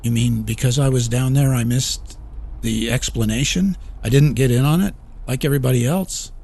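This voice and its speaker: A man's voice: medium pitch, moderate pace, creaky, breathy edge, voice pushed up. A man reading a short story as a panicked, desperate small-town store owner, pleading and rattled. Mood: anxious, hopeful. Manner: frantic shouting, pleading, later shaky and weary. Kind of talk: audiobook character acting.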